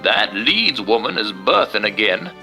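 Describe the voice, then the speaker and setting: A man's voice: lowish voice, big pitch swings, a little slow, sharp tone, faint music behind, heavily acted. A man voicing a frightened colonial midwife in a storybook reading, urgent and fretful, with old-fashioned speech. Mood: worried. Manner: stammering, breathless alarm in old-fashioned speech.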